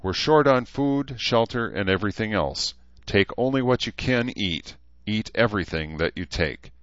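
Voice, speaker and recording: A man voicing a brisk, no-nonsense woman clerk who speaks curtly and in a businesslike way. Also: lowish voice, lively intonation, fairly bright tone, no room sound, dull audio, pitched above the performer's own voice. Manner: curt, businesslike.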